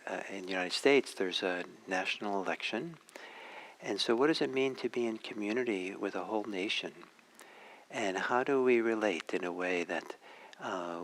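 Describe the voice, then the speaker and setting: Very low-pitched man, hesitant meditation teacher. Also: fairly bright tone, poor audio. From Norway. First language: Norwegian. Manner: hesitant, searching for words, pausing often. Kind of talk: reflective dharma talk.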